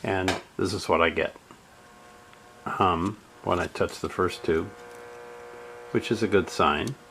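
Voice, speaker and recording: A man narrating a step-by-step radio repair in a halting way, with many fillers. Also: sits low, steady tone, a bit bright, a little hiss or hum, low-quality recording.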